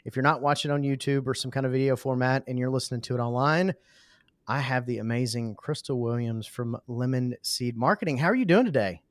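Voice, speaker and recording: A man's voice: medium pitch, a little fast, dry recording, clean studio sound. A middle-aged man hosting a podcast, upbeat and enthusiastic, telling anecdotes and repeating phrases for emphasis. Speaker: podcast host. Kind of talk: casual interview chat.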